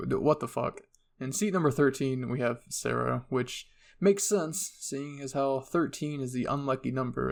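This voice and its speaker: A man's voice: medium pitch, vocal fry. A man hosting a casual, partly scripted online ranking commentary, with an irreverent, sardonic, casually profane way of talking. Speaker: YouTube host.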